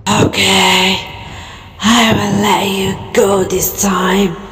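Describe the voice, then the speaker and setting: A woman voicing a menacing, regal serpent king in a storybook tale, slow, commanding and imperious. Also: medium pitch, little pitch movement, a bit bright, faint music behind. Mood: stern, relenting. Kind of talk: storybook character acting.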